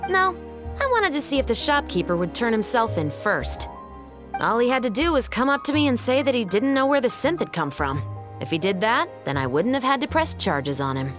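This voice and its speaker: A woman's voice: fairly high pitch, very animated, brisk, music in the background. A woman playing a firm, confident young police captain in an anime-style game, speaking in an authoritative, matter-of-fact way.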